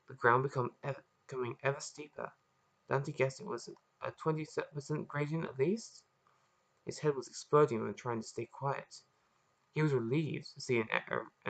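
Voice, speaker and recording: A man with a croaky voice, just woken up, reading a chapter of his own story aloud into a laptop webcam and then signing off casually. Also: mid-range voice, fairly bright tone. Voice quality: croaky, gravelly. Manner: casual, rambling sign-off with fillers. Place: at home in front of a laptop.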